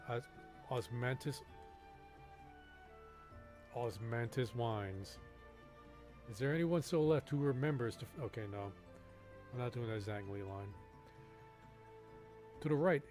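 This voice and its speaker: A man live-streaming a game, reading on-screen text aloud a little haltingly and breaking off into casual asides. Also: medium pitch, darkish tone.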